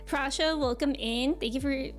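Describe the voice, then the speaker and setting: A woman's voice: high voice, moderate pace, faint music behind. A woman hosting a livestream, chatting casually and informally as she greets her viewers, with a giggly manner. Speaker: live game streamer hosting.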